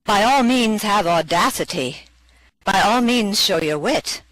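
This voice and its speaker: A poetry performer reading verse and giving brief introductions, with measured, expressive delivery. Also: mid-range voice, no room sound, poor audio.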